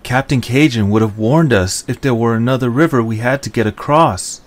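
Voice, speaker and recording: A man's voice: medium pitch, brisk, lightly acted. A man playing an earnest, exasperated young leader in a fantasy audio adventure. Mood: exasperated, surprised.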